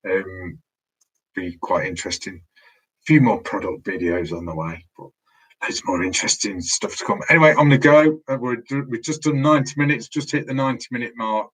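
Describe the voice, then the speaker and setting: A man's voice: on the low side, steady tone, brisk, a bit bright, rough recording. A man hosting a casual live stream from his room at home, losing his voice, rambling and restarting his sentences, heard through a laptop mic. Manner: rambling, restarts often, chatty.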